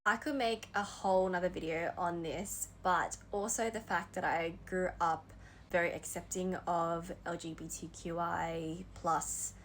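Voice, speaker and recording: A young Australian woman speaks in a reflective, earnest way, her voice sitting a little low. A faint hum of ambience lies under the talk. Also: steady tone, measured pace, a bit bright, rough recording. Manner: thoughtful, earnest, laughs lightly now and then. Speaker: vlogger. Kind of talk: reflective personal talk to camera.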